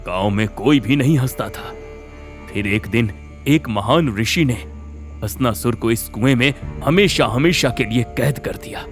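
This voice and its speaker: A man playing a grave, commanding village holy man in an animated Hindi story. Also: mid-range voice, unhurried, faint music behind, lightly acted. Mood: solemn explaining. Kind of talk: Hindi animated moral horror story acting.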